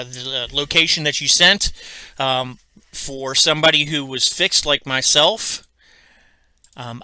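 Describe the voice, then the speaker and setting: A man with a very bright, forceful voice walks through software steps as an instructor. His delivery is halting and methodical, and the sound is crisp and dry, recorded close indoors at a computer. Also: mid-range voice, no echo. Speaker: tutorial instructor. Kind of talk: step-by-step software tutorial, unscripted explanation.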